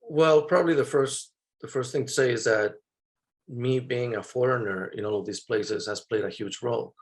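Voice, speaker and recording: A man's voice: mid-range voice, normal speed. A man in his thirties from Mexico, a photographer answering interview questions in a thoughtful, hesitant way with many fillers and restarts. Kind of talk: interview conversation.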